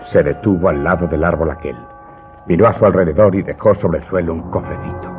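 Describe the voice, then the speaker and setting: Actor as a deep-voiced vengeful Corsican servant. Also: male voice, natural voice. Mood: tense recounting. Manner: deliberate, dramatic storytelling with emphatic outbursts. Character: vengeful Corsican servant confessing a killing to his master. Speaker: voice actor in an audio drama. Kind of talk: dramatized radio drama monologue. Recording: dull, muffled audio with loud music underneath.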